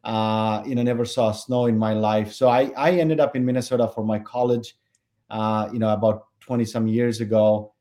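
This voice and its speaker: Founder guy from bangladesh, forties, kinda low warm voice, lots of uhs while he thinks. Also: fairly even intonation, moderate pace. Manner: hesitant, many fillers and restarts. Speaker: company founder and CEO, podcast guest. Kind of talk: conversational interview answers.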